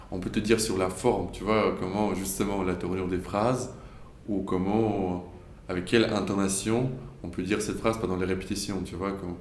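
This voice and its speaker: A man's voice: low-pitched, darkish tone, echoey room, a little hiss or hum. A young man from Ukraine, an actor giving hesitant, reflective interview answers and often searching for words. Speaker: actor interviewed about his play.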